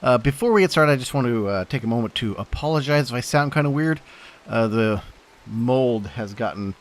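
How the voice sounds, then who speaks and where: A man's voice: medium pitch, expressive, fairly quick. A young man with a stuffy voice from allergies, giving casual rambling commentary on his gameplay with lots of fillers. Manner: rambling, thinking aloud with many fillers. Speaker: host.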